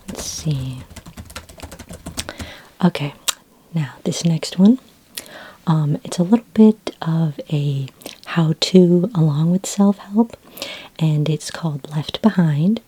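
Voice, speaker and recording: A woman speaking in a soft, breathy whisper as a friendly, patient librarian in a gentle ASMR roleplay. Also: deep voice. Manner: gentle, unhurried, helpful customer-service patter. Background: computer keyboard typing.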